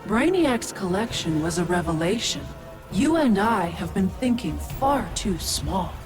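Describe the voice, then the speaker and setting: A woman's voice: medium pitch, sing-song, a little slow, music in the background. A woman playing a mocking, scheming ancient witch spirit in a video game villain monologue. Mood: mocking, gloating.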